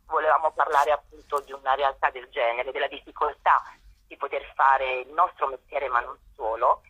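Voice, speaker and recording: A woman's voice: very deep voice, steady tone, moderate pace, sharp tone, slightly rough. A woman, an actress being interviewed by phone as a guest on a radio show. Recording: dull, band-limited telephone line.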